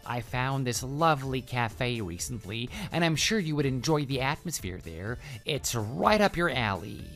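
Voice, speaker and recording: A man's voice: medium pitch, animated, normal speed, fairly bright tone. Male actor as lovestruck male coworker, clingy, flowery gusher, flustered stammer, radio drama, music behind. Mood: eager, hopeful. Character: clingy, lovestruck male office coworker who can't take hints. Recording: voice over background music.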